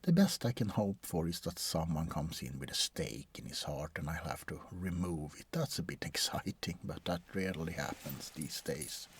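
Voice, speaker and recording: A man playing a bored, world-weary, centuries-old vampire doctor in an ASMR roleplay, husky and breathy, often slipping into a whisper, with a deadpan, hesitant delivery. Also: extremely low pitch, normal speed, few pauses, slight background noise. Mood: bored, dry.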